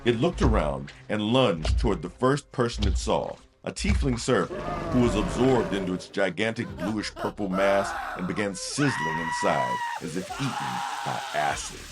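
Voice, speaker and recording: A man's voice: lowish voice, wildly swooping pitch, normal speed, few pauses, raised voice, over loud music. A man narrating a fantasy audio drama from a script in a dramatic storytelling style.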